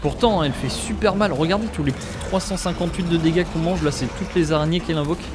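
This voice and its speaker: A young French man giving excitable let's play commentary, often exclaiming and talking straight to his viewers. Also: on the high side, quick pace, noisy background. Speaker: gaming YouTuber.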